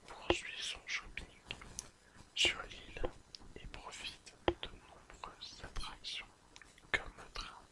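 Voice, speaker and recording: Slow, fully whispered ASMR reading. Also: poor audio. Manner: soft, unhurried, soothing, whispering throughout. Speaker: ASMR creator.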